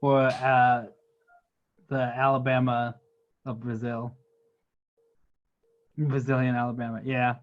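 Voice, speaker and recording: A man's voice: medium pitch, flat delivery, takes it slowly, pauses often. Dull-sounding man hosting an online call. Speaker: meetup host and moderator. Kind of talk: casual chat.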